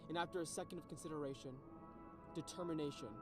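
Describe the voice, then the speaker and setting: A man's voice: a little high, fairly even intonation, fast, music in the background, natural voice. A man playing the grave, theatrical storyteller of a scripted fantasy audio drama.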